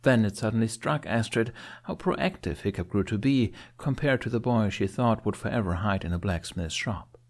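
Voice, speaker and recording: A man narrates a fantasy story in a fairly low voice. His delivery is scripted and expressive, in the manner of an audiobook. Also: moderate pace.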